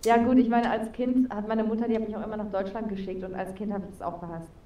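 A woman's voice: mid-range voice, little pitch movement, quick pace, warm tone, reverberant, far from the mic. A young woman chatting as an interview guest over a video call with a headset, talking casually with lots of fillers. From Spain. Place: home room with neon sign, indoors.

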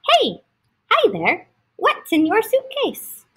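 A woman's voice: extremely high pitch, huge pitch swings, slow, bright, forceful tone, voice pushed up, full character voice. A woman voicing nosy, doubting animals in a children's storybook, blunt and questioning. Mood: curious.

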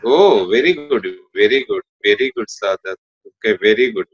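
A man from India, a job candidate, talking in a halting, repetitive way on a video call from a small indoor room. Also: lowish voice, lively intonation. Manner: halting, repeats words. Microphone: phone or laptop camera, video call. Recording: muffled video call audio.

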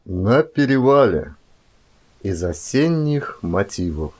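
Low-voiced man narrating an audiobook. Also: very animated, takes it slowly, a little mellow. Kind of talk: expressive literary audiobook narration.

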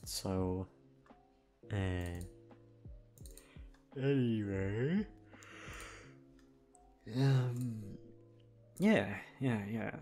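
A man's voice: fairly low pitch, dark tone. A young man chatting casually and off the cuff to his listeners, rambling and a little self-conscious. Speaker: audio roleplay voice actor. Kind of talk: casual, unscripted outro chat.

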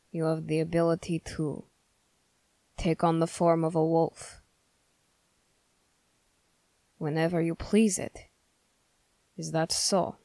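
A woman playing a stern, suspicious young male soldier in an ASMR roleplay, questioning the listener in a measured, formal way. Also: fairly low pitch, a little mellow, dry recording. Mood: curious, suspicious. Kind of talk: ASMR character roleplay, slow scripted monologue.

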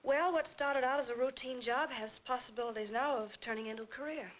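An actress playing a cool, flippant young gold-digging model in a 1940s film, with a wry, offhand manner. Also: female voice, sits high, brisk, slight background noise, lightly acted. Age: young adult. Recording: dull 1940s film soundtrack with music.

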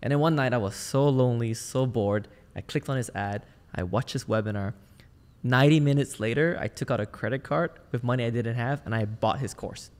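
A man's voice: medium pitch, brisk. Podcast guest: man from Malaysia, mid-range voice, casual conversational storyteller, long reverb on the recording. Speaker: podcast guest, sales trainer and entrepreneur. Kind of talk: casual personal storytelling in an interview.

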